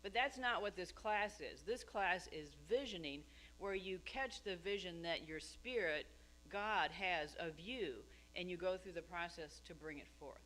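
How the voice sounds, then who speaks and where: Lower-pitched woman, some background noise. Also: a little slow, fairly bright tone, poor audio. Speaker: class co-teacher. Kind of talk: informal explanatory talk to a congregation. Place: speaking live in a meeting room.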